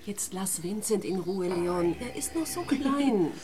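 An actress playing a gentle, protective mother who soothes and pleads in a radio drama. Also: mid-range voice, expressive, a little slow, loud music behind the voice, natural voice. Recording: noisy background with clicks.

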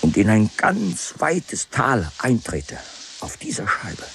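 A very low-voiced, deranged man broods aloud in a radio play. He speaks slowly and haltingly, repeating himself and swinging from full voice toward whispers. A clear wash of noise lies over the recording. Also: huge pitch swings, a bit bright, heavily acted. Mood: visionary. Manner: halting, repetitive, drifting into whispers. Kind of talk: literary radio drama monologue.

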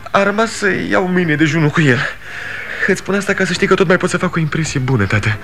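A pleading grown son. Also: male voice, high voice, lively intonation, a bit bright, soft background music. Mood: desperate. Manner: pleading, urgent. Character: grown son, young man, ashamed and pleading. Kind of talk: 1960s radio drama acting.

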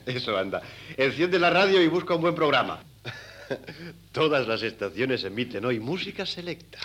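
An actor playing an eager, bossy, vain young son in a 1970s Spanish radio play, theatrical and excitable, with a Castilian accent. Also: male voice, medium pitch, a little slow, pauses often. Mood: scornful. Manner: theatrical, excitable, stammers when flustered. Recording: hissy old cassette radio recording.

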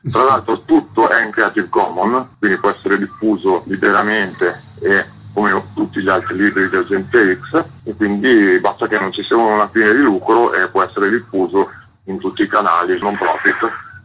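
A man's voice: lowish voice, steady tone, normal speed, pushed and bright, slight background noise, rough recording. A man, a guest author talking casually and discursively in an interview. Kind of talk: casual interview talk about own book.

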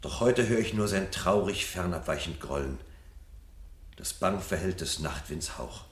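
A man's voice: sits low, expressive, a little slow. An actor playing an agitated, bitter, rebellious fireman and husband in a 1970s radio play, speaking in an intense, declamatory way. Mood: solemn, mournful. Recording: roomy studio radio play sound.

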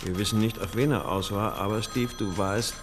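An actor playing a brooding, intuitive police detective in a radio crime drama, thinking aloud with insistent questions. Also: male voice, lowish voice, steady tone, normal speed, background noise, lightly acted. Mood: speculating. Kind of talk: naturalistic German radio crime drama acting.

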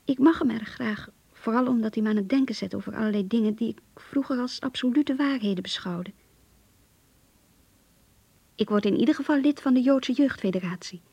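A close, dry voice, mellow and breathy: a thoughtful young woman. She narrates intimately and reflectively, as if writing in a diary. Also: a little high, brisk, dry recording, lo-fi sound. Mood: confiding, tender. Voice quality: soft, breathy. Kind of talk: Dutch radio play monologue.

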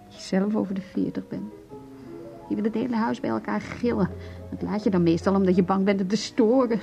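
A woman's voice: medium pitch, steady tone, fairly quick, gravelly, mellow, close to an everyday voice. An actress in a radio play voicing a weary, hurt wife in her forties who confides about her marriage. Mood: bitter, anguished. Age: 40s. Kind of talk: Dutch radio play acting. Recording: dull lo-fi radio play recording, music.

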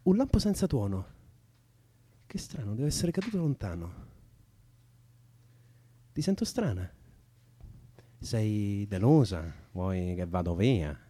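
A man's voice: lowish voice, big pitch swings, takes it slowly, pauses often, dark tone, husky, exaggerated voice. An actor playing an awkward, joking young man in a radio drama, hesitant and wry in colloquial speech. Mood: tentative. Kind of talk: naturalistic Italian radio drama dialogue.

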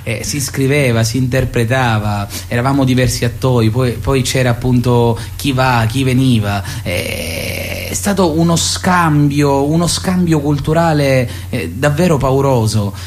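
A man's voice: medium pitch, a little slow, hardly any pauses. A man speaking spontaneously in a radio interview as a theatre writer and director, often hesitating as he searches for words. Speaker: theatre author, director and actor.

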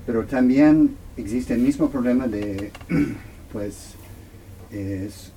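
A man speaking Spanish as his second language, haltingly searching for words as he puts a question at a talk. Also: medium pitch, mellow, slight background noise, low-quality recording. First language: not Spanish, Spanish as second language. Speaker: audience member asking questions. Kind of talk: unscripted question at a book talk.

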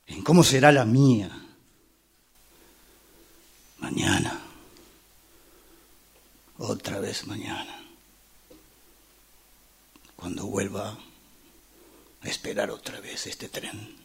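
A man acting a pensive, weary waiting passenger in a radio drama, halting and repeating his phrases. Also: mid-range voice, creaky, slightly rough, heavily acted. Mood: brooding, weary. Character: brooding, weary man waiting years for a train.

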